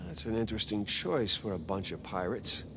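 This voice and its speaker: A man's voice: lowish voice, expressive, moderate pace, background noise. A man acting as a cocky, eager treasure hunter in a video game, breezy and sardonic. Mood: eager, teasing. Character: cocky, eager adult male treasure hunter, older brother. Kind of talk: naturalistic cinematic game dialogue. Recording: cinematic music behind dialogue.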